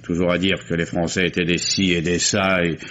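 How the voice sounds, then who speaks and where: An actor playing a gruff, guarded old oyster fisherman in a 1950s radio drama, talking in a folksy, grumbling way. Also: low-pitched, level tone, a little fast, a bit bright. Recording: muffled old radio recording with faint hiss.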